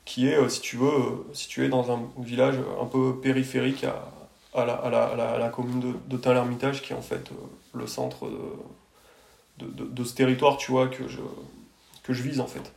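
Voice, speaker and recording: Man with a creaky voice, casual storytelling. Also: mid-range voice, normal speed, a little mellow. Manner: hesitant, colloquial, frequent verbal tags. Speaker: architect, podcast guest. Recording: roomy echoing sound.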